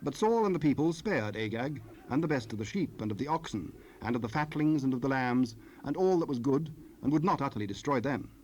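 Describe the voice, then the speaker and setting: Casting: male scripture narrator, mid-range, solemn and measured, old cassette noise with ambient effects behind. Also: mid-range voice, expressive, darkish tone, lo-fi sound. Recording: noisy cassette rip, ambient sound.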